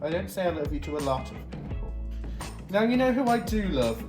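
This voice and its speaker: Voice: medium pitch, big pitch swings, fairly quick, natural voice. Performer as a haughty, swooping pantomime Queen of Hearts. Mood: dotty, rambling. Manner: imperious, rambling. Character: haughty, dotty Queen of Hearts, easily distracted. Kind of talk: pantomime character hosting a baking segment. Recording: roomy, distant mic, music behind.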